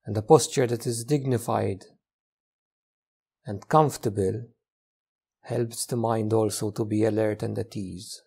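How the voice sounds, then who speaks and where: A man leading a guided meditation as a teacher, speaking gently in short, unhurried phrases with long pauses. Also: lowish voice, steady tone, creaky, slightly rough.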